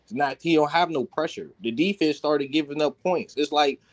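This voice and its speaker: A man's voice: a little high, normal speed. Insistent, slangy man arguing sports. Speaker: sports talk panelist. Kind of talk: heated casual sports debate.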